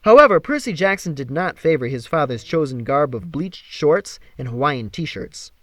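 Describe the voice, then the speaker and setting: A man reads fiction aloud with theatrical flair and a very lively melody. His voice sits a little high, and the sound is dry with hardly any room. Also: normal speed, no room sound. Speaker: amateur audiobook reader. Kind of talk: expressive scripted story narration.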